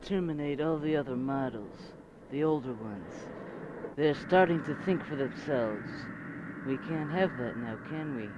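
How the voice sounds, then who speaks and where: A man's voice: on the high side, a little slow, a crowd in the background, natural voice. A man playing a ruthless, boastful older conqueror who commands robot soldiers, in an amateur science fiction audio drama. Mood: cold. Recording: noisy 1990s home cassette recording.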